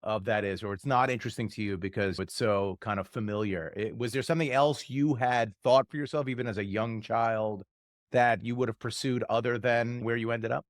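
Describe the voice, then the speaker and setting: Male co-host from New Jersey, medium pitch, dry recording; curious, playful follow-ups. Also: steady tone, brisk, no room sound. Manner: curious, joking follow-up questions. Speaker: podcast co-host. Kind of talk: casual interview conversation.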